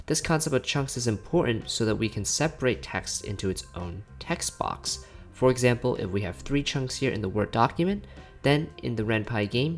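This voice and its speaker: A man giving a casual, plain-spoken scripted tutorial narration as a software developer explaining a tool. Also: on the low side. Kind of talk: scripted explanatory narration over screen recording. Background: background music with guitar.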